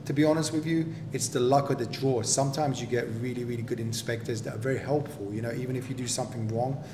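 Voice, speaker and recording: A man, a property developer, explaining construction inspections in a practical, measured way as his wife interviews him. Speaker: property developer and general contractor. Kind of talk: explaining in a relaxed home interview. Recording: echoey room with steady rumble.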